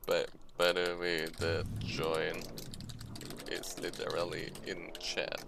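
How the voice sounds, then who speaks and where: A young man with a low voice chats loudly and slowly over a live game stream, trailing off and restarting his words. He sits at a computer at home. Keyboard clatter and typing sit clearly behind him. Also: monotone, background noise. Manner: loud, rambling, self-interrupting. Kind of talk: casual live-stream chatter while gaming.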